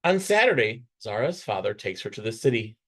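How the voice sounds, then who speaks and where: A man reading a children's picture book aloud with expressive, dramatic storytelling delivery. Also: lowish voice, brisk. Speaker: storybook reader.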